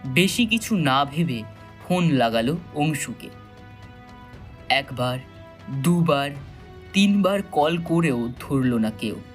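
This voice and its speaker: A man narrating a thriller audio story in a dramatic, suspenseful style with measured pauses. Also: medium pitch, lively intonation, soft background music.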